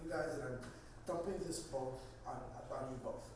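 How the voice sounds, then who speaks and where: A man playing a flustered, anxious, self-doubting executive in a comedy table read, halting and bewildered. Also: mid-range voice, lightly acted. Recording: echoey noisy room, distant microphone.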